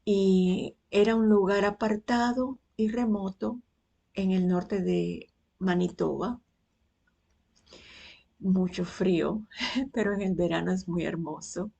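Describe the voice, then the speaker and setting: Cuban woman, 60s, faith testimony; husky voice, slow and unhurried, frequent pauses. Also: medium pitch, fairly even intonation, warm tone. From Cuba. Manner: unhurried, pausing often between phrases.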